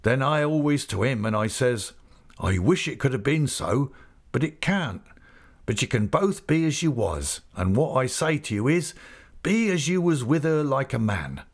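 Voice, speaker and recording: A man's voice: medium pitch, sing-song, normal speed, voice pushed up. A man voicing a hearty old male fisherman who talks in a broad rustic dialect. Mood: earnest.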